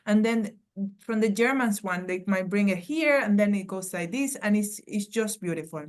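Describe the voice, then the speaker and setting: A woman's voice: mid-range voice, normal speed. A woman from Venezuela with a Venezuelan Spanish accent, a guest academic answering earnestly on a panel and searching for words.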